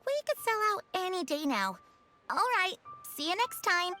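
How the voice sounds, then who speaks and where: A woman's voice: very high-pitched, sing-song, moderate pace, sharp tone, soft background music, exaggerated voice. An actress voicing a polite, shrewd female shopkeeper who pushes a sale. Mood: salesy. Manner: courteous salesy patter. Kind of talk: game voice acting.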